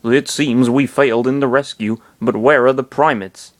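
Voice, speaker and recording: A man's voice: medium pitch, steady tone, moderate pace, pitched above the performer's own voice, lightly acted. A man doing an earnest creature, Yorkshire accent. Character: earnest male creature companion, Yorkshire-ish.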